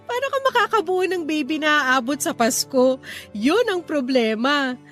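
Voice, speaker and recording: A teasing, practical mother in her early forties played by a woman in a radio drama. Her voice sits high and she banters with playful scolding over a music bed. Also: big pitch swings, slow pace, a bit bright, heavily acted. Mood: teasing, exasperated.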